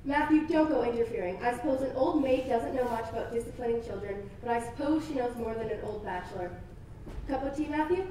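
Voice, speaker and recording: An actress playing a stern, brisk older spinster who gives clipped, commanding orders. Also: female voice, on the high side, dark tone, slight background noise, natural voice. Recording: echoey, distant, music behind.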